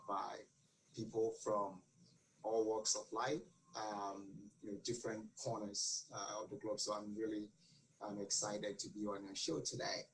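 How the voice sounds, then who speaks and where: A man's voice: on the low side, slight background noise, poor audio. A man from Ghana, answering interview questions earnestly with many fillers and restarts. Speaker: guest.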